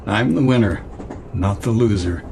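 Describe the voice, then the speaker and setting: A man's voice: fairly low pitch, moderate pace, creaky, darkish tone, a little hiss or hum. An actor playing a weary, desperate businessman in an audio drama, with a hesitant, strained, pleading manner. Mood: wistful, weary.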